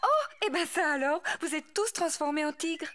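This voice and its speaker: A woman's voice: high voice, lively intonation, moderate pace, fairly bright tone. A woman voicing a kind, cheerful mother pig in a children's cartoon, playful and motherly. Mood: amused.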